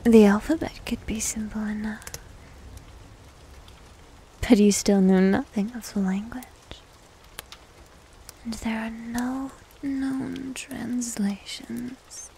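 A woman playing a needy, teasing young succubus demon in an ASMR roleplay, with a soft, breathy, often whispered voice and coy manner. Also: a little high, steady tone, a little hiss or hum, poor audio. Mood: smug, coaxing. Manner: coy, slow, coaxing. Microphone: close microphone.

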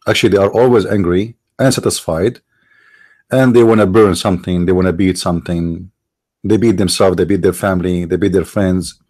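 Man, Arabic accent, middle-aged, low voice, halting, emphatic monologue. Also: fairly even intonation, darkish tone. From Middle East. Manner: halting, repeats and restarts words, stresses points. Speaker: online show host. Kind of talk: monologue commentary.

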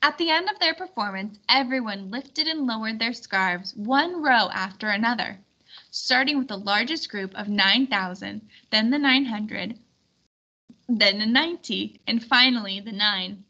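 A woman reading a children's storybook aloud in an expressive, clearly instructional way. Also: a little high, measured pace, lo-fi sound. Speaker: storybook reader.

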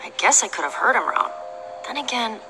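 An actress playing a confident young female adventurer in a video game, brisk and self-assured. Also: on the high side, sing-song, low-quality recording. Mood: puzzled. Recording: music behind the voice.

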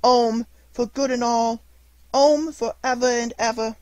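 A man's voice: very high voice, fairly even intonation, measured pace, a little mellow, no echo, pitched above the performer's own voice. A man voicing an eager, gleeful little girl who drops her h's, in a dry recording.

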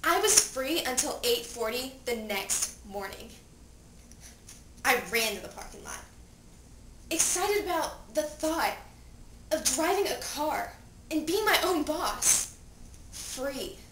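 In an echoey, noisy room, a young woman acts out a dead seventeen-year-old girl telling her story in a high voice. Her dramatic monologue is theatrical and anguished, moving between hushed recollection and desperate pleading. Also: expressive, takes it slowly, long pauses, full character voice. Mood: wistful. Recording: echoey room, distant mic, clear noise.

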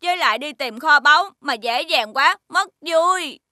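A woman's voice: high-pitched, lively intonation, takes it slowly, pushed and bright, dry recording, studio quality, heavily acted. A woman voicing a playful magical creature friend who talks in a cheeky, teasing way. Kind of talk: cartoon voice acting.

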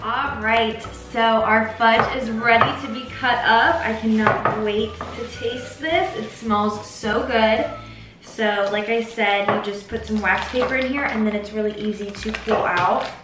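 A woman's voice: a little high, steady tone, measured pace. A young North American woman chatting enthusiastically to camera as she hosts a casual cooking tutorial in her home kitchen. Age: young adult. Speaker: baker hosting a recipe video. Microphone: camera mic a few feet away. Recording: roomy, distant camera sound with music.

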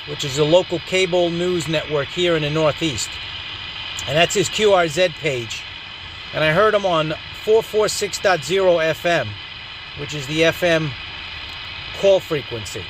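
A man's voice: high-pitched, background noise. A man hosting an amateur radio video channel, narrating in an upbeat hobby vlog style.

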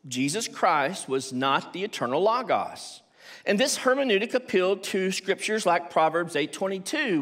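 An older man lectures on theology from a stage podium in a big auditorium. His voice sits a little higher than most men's. He breaks from his script now and then for asides and rhetorical questions. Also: a bit bright. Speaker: theology professor giving a conference talk. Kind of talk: academic lecture at a church conference. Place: stage of a large auditorium with a seated audience. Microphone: podium microphone.

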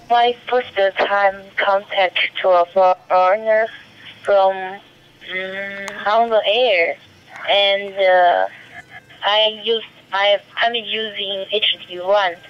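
A woman from China with a Chinese accent making a ham radio call in halting, careful English over a digital two-way radio. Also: mid-range voice, fairly even intonation, a little hiss or hum. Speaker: amateur radio operator. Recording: dull, band-limited digital radio transmission.

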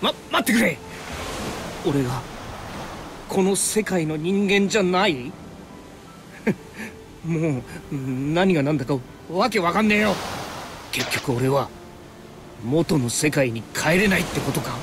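A man's voice: fairly high pitch, animated, slow pace, long pauses, noisy background. An actor playing an easygoing but desperate young male fighter from a video game, with a casual, slangy, pleading way of talking. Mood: distraught. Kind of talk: anime video game voice acting. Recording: background music under the voice.

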